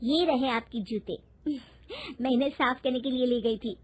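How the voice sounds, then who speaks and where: A woman's voice: on the high side, moderate pace, slight background noise, natural voice. A woman voicing a polite, hesitant young daughter-in-law with a deferential manner in a moral story. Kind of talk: moral story character acting.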